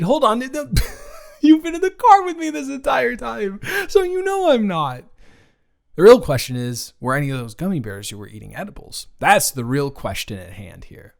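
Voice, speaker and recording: A man's voice: on the high side, gravelly. A man playing a playful, teasing young best friend in an improvised roleplay audio, speaking casually with false starts and repeats. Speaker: audio roleplay creator and performer.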